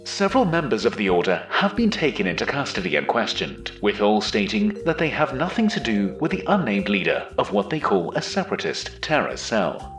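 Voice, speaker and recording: Echoey, animated news anchor, acted by a man. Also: fairly low pitch, fairly quick, faint music behind. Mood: formal. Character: formal male news anchor on futuristic screens. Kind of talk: broadcast news reading.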